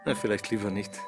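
An actor playing an intense, awkward, logical mathematician in a radio drama, speaking deliberately and precisely. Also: male voice, lowish voice, vocal fry.

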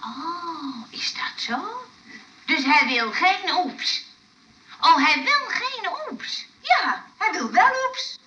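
An actress playing a fussy, hospitable woman who runs a rest home, halting and chattering as she goes. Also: very high-pitched, very animated, pushed and bright, exaggerated voice. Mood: flustered, eager. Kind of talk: radio play acting. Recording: slightly noisy, distant radio play recording.

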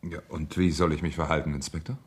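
A deep-voiced husband in a radio crime drama. He talks smoothly and evasively, with money and plotting underneath. The voice stays low. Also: level tone, fast talker. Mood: concerned, polite.

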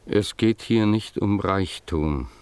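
A man acting a grave, admonishing village pastor in a German radio play, measured, solemn and formal in his delivery. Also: lowish voice, darkish tone, clear, resonant tone. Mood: grave, warning.

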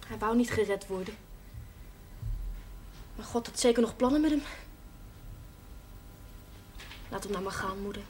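An actress playing a blunt, passionate, defiant daughter in a television drama, speaking coarsely and emphatically. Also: female voice, mid-range voice, moderate pace, a little mellow, close to an everyday voice. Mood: resigned. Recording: faintly noisy old television soundtrack.

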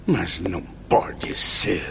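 A man's voice: low-pitched, animated, takes it slowly, vocal fry, a bit bright, a little hiss or hum, heavily acted. An actor playing a prim male hospital patient, easily indignant, formal and emphatic in a radio drama.

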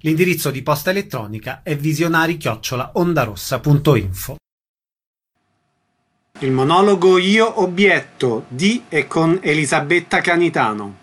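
A man's voice: fairly high pitch, animated, moderate pace, fairly bright tone. A man reading scripted radio announcements as a station announcer.

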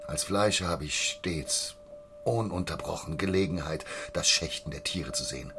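An actor in a radio play voicing an obsessive small-town butcher, insistent and conspiratorial, dwelling on grim details. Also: male voice, low-pitched, moderate pace, over music. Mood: obsessive, explaining. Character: obsessive, gruesome small-town butcher spreading hateful rumours. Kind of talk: radio drama acting.

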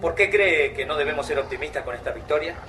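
High-pitched, formal Argentine army major. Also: male voice, fast, pushed and bright. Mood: insistent. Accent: Argentine Rioplatense. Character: army major, formal, official, confident commanding officer. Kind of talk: radio drama acting. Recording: noisy, distant mix with vehicle sounds.

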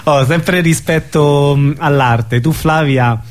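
A man interviewing casually on radio, halting as he searches for words. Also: on the high side.

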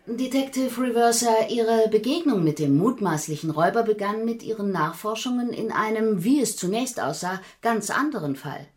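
Casting: woman playing TV crime-special presenter, formal broadcast interviewing style, very animated intonation, radio drama. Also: fairly high pitch, moderate pace, few pauses, natural voice. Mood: formal, probing.

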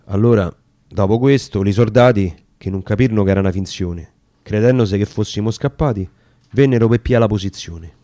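A man's voice: fairly low pitch, a little fast, mellow, no echo. Roman man reciting verse, close and dry. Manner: theatrical, emphatic storytelling. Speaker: reader of dramatic verse. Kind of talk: dramatic radio recitation of dialect sonnets.

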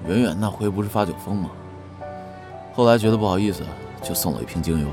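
Young male idol singer, deep voice, understated, laconic, subdued, audio drama, faint music behind. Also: fast. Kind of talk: Chinese audio drama dialogue.

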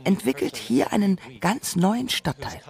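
A narrator with delivering scripted radio feature text in a measured, articulate way. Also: medium pitch, sing-song, gravelly, a little mellow.

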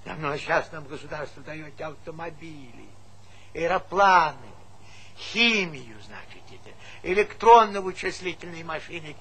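An actor playing an earnest old village man in a stage play, speaking in a folksy, halting way full of verbal tics. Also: a little high, lively intonation, sharp tone, heavily acted. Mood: fervent. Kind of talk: theatrical stage-play monologue. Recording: noisy vintage stage play recording.

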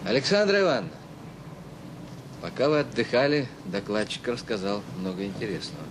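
A man acting in an audio drama as a brisk, formal and businesslike chairman of an official meeting. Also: mid-range voice, big pitch swings, background noise, close to an everyday voice. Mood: reporting, pointed.

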